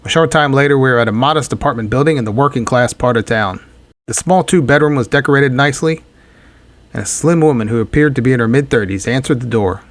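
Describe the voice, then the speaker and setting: An actor playing a hard-boiled, wisecracking private detective in 1940s radio drama style, with a wry deadpan delivery. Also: male voice, mid-range voice, quick pace, dry recording, natural voice. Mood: measured narration.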